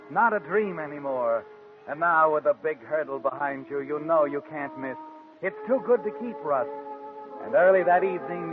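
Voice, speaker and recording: An actor playing a knowing storyteller who narrates a 1940s radio suspense tale dramatically, straight to its protagonist. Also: male voice, fairly high pitch, close to an everyday voice. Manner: dramatic second-person narrating. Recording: phone-like old 1940s radio recording.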